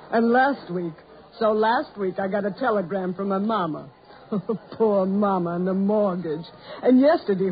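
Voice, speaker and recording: A woman's voice: mid-range voice, lightly acted. An actress playing a brassy, scornful gold-digging carnival show woman, slangy and flippant, in 1940s radio drama style. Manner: slangy, flippant, hard-boiled. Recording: muffled, noisy 1940s radio recording.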